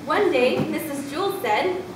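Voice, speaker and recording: A woman's voice: expressive, slow, recorded from a distance. A woman narrator telling a scripted school story as part of a readers theatre performance. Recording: echoey room from afar, rumbling background noise.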